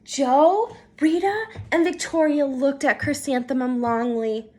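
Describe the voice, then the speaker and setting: A woman reading a children's picture book aloud in an expressive, dramatic, teacher-like way. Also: sits high, measured pace.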